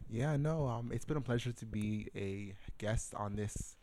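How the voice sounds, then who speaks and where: A man's voice: lowish voice, measured pace, warm tone, a little hiss or hum. A young man as a podcast guest, sharing a personal faith story in a casual way, with restarts, repeated words and many fillers. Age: young adult. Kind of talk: personal testimony in casual conversation.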